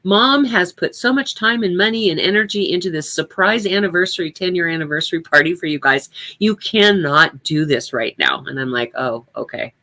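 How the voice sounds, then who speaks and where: A woman's voice: lowish voice, moderate pace, hardly any pauses, sharp tone. An older woman giving a reflective personal talk, speaking candidly and conversationally with emphasis on her points.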